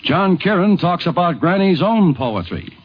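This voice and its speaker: A man's voice: high voice, big pitch swings, unhurried, slight background noise. A man reading scripted narration as the narrator of a 1950s radio documentary. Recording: muffled 1950s radio recording.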